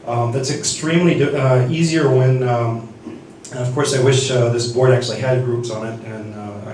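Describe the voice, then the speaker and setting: A man's voice: medium pitch, a little mellow, a little hiss or hum. Guy explaining a mixing board, lots of ums and restarts, big echoey church hall, mic kinda far off. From United States. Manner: halting, many restarts and fillers. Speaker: seminar speaker. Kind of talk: teaching sound-desk basics.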